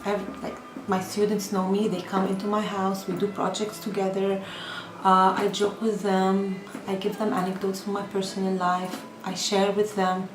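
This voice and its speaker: A middle-aged woman from Lebanon, an Arabic speaker and teacher, talking about her life in an earnest, reflective way and often saying "like". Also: medium pitch. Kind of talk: personal testimony. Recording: roomy, with music behind. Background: song playing.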